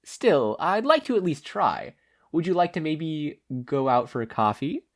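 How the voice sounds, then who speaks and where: A man acts out a breezy woman hero for a story reading. She is confident and encouraging, teasing in casual phrases, with a varied, lively pitch. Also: a little slow. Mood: upbeat.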